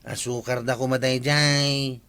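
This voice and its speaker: A man's voice: mid-range voice, level tone, unhurried, sharp tone, clean tone. A man with an Ilocano accent playing a needling village gossip in a radio comedy drama. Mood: teasing.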